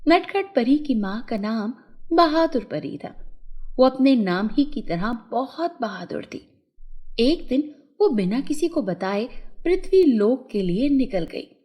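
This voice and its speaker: A woman narrating a scripted fairy tale for children in an expressive storytelling manner. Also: on the high side, slow pace, slightly rough.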